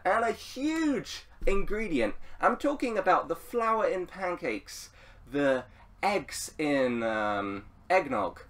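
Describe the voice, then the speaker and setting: A man's voice: high voice, distant mic. A man giving casual, unscripted writing advice as a video host, rambling playfully and repeating phrases for emphasis. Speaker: YouTube writing coach and host.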